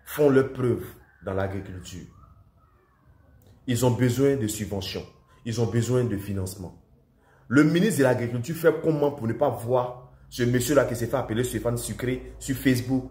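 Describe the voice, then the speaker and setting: A man's voice: medium pitch, a little mellow. A man with an Ivorian French accent and a cold, giving indignant political commentary that rises to emphatic outbursts. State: has a cold. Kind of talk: impassioned video commentary. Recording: roomy echo.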